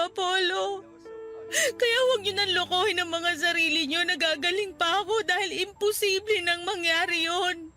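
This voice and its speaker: A heartbroken young woman sobs and lashes out in a radio drama. Her voice sits very high and sounds bright and piercing. Soft music plays faintly underneath. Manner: tearful, sobbing, pushing her partner away. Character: gravely ill young woman, despairing and bitter.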